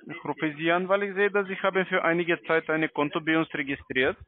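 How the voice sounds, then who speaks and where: Higher-voiced foreign-accented man on the phone. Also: fairly even intonation, fairly quick, dry recording. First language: not German. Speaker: telemarketing caller. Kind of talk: sales call pitch. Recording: tinny phone line.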